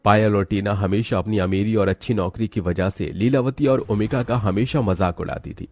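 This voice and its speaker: A man narrates a scripted moral tale. His voice sits a little low and has a soft, dark tone. The recording is close and dry. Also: moderate pace, no echo.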